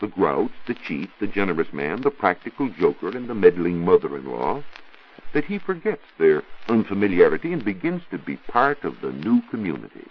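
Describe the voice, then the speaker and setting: An American man giving a scripted 1940s radio science talk as a reporter, didactic and rhetorical in measured phrases. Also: deep voice, very animated, breathy edge. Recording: dull 1940s radio recording.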